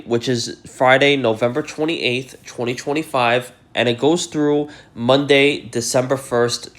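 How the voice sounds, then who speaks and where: Brief: male tech vlogger, congested from a cold, casual and rambling, mid pitch. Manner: rambling, lots of fillers like "uh" and "you know". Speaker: tech YouTuber. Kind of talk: casual talk to camera.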